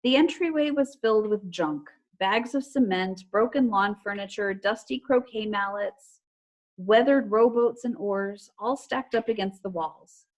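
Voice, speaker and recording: Woman, children's read-aloud, teacherly, medium pitch, animated character lines, calmer narration, video call. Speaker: teacher reading aloud to a class.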